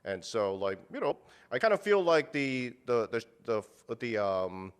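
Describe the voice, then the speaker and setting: A man's voice: mid-range voice, very animated, a little slow, smooth. A man talking casually as an interview guest, rambling with many fillers and restarts and cursing bluntly. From United States. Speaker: guest, meat purveyor.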